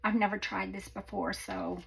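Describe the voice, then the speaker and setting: Woman, croaky gravelly voice, chatty craft tutorial, faint hiss behind. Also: medium pitch, little pitch movement, normal speed. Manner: chatty, rambling, self-deprecating with small laughs. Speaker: crafting channel host. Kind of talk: casual craft tutorial chat.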